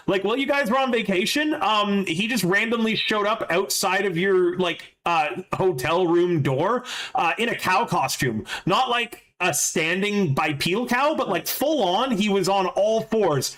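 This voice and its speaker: A man with a high voice runs a tabletop game, narrating in a loose, halting way. He strings his thoughts together with plenty of fillers and restarts. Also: lively intonation, a bit bright. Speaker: game master.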